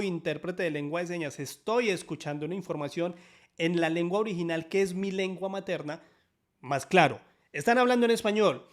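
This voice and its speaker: A man teaching a sign language lesson in Spanish, upbeat and explanatory, often checking in with quick questions. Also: on the high side.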